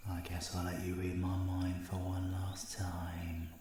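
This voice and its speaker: A man's voice: low voice, monotone, measured pace. A man with a British accent playing a menacing, cocky supervillain in an audio roleplay, taunting and swearing in slangy speech. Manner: taunting, slangy, sweary, often hissing in whispers. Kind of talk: ASMR audio roleplay acting.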